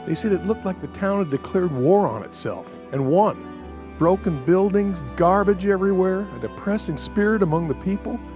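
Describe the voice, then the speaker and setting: An actor playing an enthusiastic, persuasive middle-aged American missionary musician in a family audio drama. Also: male voice, high-pitched, moderate pace, music in the background, natural voice. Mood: earnest.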